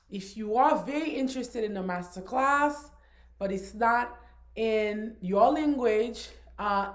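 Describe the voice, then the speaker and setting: Slow-speaking Haitian-born woman presenter. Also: medium pitch. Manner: slow, deliberate, encouraging. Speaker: business strategist. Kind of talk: course overview presentation.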